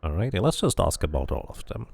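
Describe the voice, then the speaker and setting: A man's voice: low-pitched, sing-song, quick pace, creaky, darkish tone, husky, no room sound. A man streaming a game, giving casual commentary and reading the text aloud. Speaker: gaming streamer. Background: music behind the voice.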